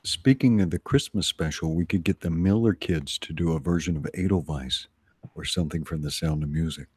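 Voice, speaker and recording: Deep, husky man bantering casually. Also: fairly quick, a little mellow, no echo. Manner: teasing, dry joking. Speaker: livestream co-host.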